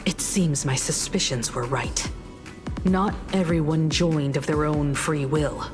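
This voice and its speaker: An actress voicing a calm, wise woman in a video game, speaking slowly and reflectively. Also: low voice. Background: game music.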